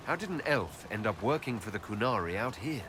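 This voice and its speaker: An inquisitive male elf hero of a fantasy game speaks quickly with animated intonation. He is polite but direct. The background is clearly noisy. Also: fairly low pitch. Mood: curious. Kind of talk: video game cutscene acting. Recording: game capture with loud ambient background noise.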